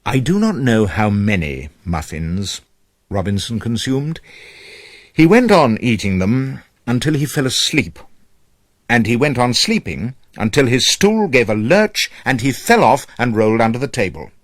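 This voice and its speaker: A man narrating a children's story from a script as a storyteller, reading aloud in an expressive, measured manner. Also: mid-range voice, no echo, clean studio sound.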